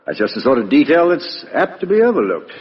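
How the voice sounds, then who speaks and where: An actor playing a blunt, teasing British police inspector in a 1950s radio play, informal and matter-of-fact. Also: male voice, on the high side, very animated, fairly quick. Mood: brisk, businesslike. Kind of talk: 1950s radio drama acting. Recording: muffled, echoey 1950s radio recording.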